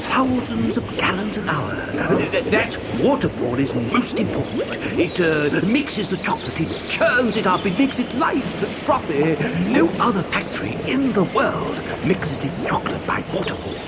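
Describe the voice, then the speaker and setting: A man's voice: high-pitched, big pitch swings, normal speed, few pauses, loud music behind the voice. A man playing an eccentric, gleeful chocolate factory owner in an audio dramatisation, effusive, stammering and theatrical. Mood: proud, excited.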